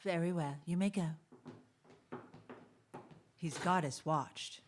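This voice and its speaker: A woman's voice: fairly low pitch, takes it slowly, slight background noise. A woman acting a proud, guarded lady in a radio drama, formal and dramatic. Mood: wary.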